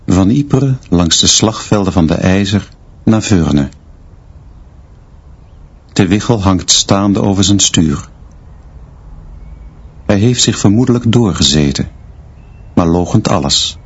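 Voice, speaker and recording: Low, slow-spoken stern male teacher. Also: animated, slightly rough, exaggerated voice. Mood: grim, detached. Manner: terse, measured, clipped sentences. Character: stern, austere male schoolteacher narrating his school year. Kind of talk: literary radio drama narration. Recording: dull sound, noise and murmur behind.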